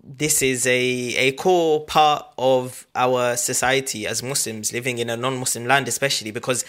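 Male host with a bright, sharp tone, earnest and reflective. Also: mid-range voice, steady tone, normal speed. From England. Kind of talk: podcast conversation.

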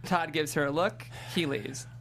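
A woman's voice: low voice, moderate pace, a bit bright. A woman giving scripted narration, reading out stage directions at a table read. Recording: clear background noise and hum.

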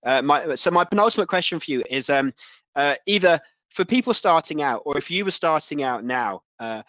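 A man hosting a casual interview, halting and repeating words as he forms his thoughts. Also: fairly high pitch, expressive, fairly bright tone, no echo, rough recording. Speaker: podcast host.

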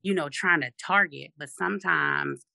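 A speaker with a deep, raspy voice, talking firmly and assertively in a casual discussion. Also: moderate pace, pushed and bright, no room sound, studio quality.

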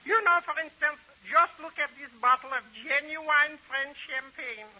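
A man's voice: very high-pitched, measured pace, sharp tone, heavily acted, low-quality recording. A man putting on a giggly immigrant caterer. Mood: eager. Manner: chatty, giggling salesman patter. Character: excitable immigrant male caterer with a comic accent. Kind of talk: 1940s radio comedy acting. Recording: old radio broadcast with faint hiss.

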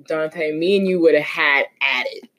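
A young adult woman co-hosting a casual podcast, often laughing and excitable. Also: low-pitched, measured pace, crowd noise. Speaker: podcast co-host. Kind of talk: casual chat.

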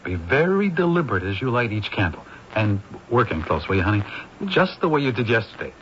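A brisk film director, bright voice, dull old radio sound. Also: male voice, fairly low pitch, big pitch swings. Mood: directing. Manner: brisk, commanding, exasperated. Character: harried male film director on set. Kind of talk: 1970s radio drama acting. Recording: dull old radio broadcast with faint hiss.

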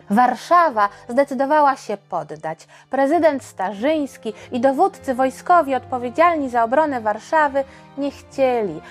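Female podcast storyteller, higher-pitched, slow delivery, colloquial and expressive, for a children's history tale. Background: background music.

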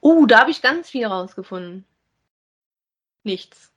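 A woman's voice: a little high, expressive, unhurried, fairly bright tone, dull audio. A woman chats casually as a podcast co-host, talking in an effusive, enthusiastic way like a devoted fan. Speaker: co-host of a podcast about radio plays. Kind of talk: casual conversational chat.

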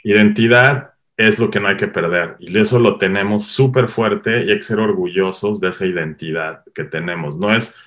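A middle-aged Mexican man with a Mexican accent, a guest filmmaker talking animatedly in a casual interview, full of quick asides. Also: fairly low pitch. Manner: animated storyteller, quick asides, lots of "o sea".

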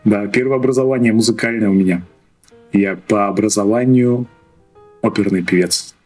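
A man's voice: fairly low pitch, normal speed, a little mellow, faint music behind. A man in his thirties from Russia, a podcast guest telling his story in a hesitant way, restarting phrases and laughing often. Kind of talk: casual podcast chat.

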